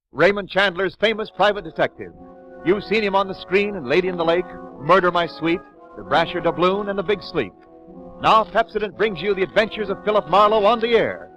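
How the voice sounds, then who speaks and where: Brief: high, lively-voiced male announcer, showy 1940s sponsor intro with faint music. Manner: booming, showy. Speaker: radio announcer. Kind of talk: 1940s radio advert announcing. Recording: dull 1940s radio broadcast with music.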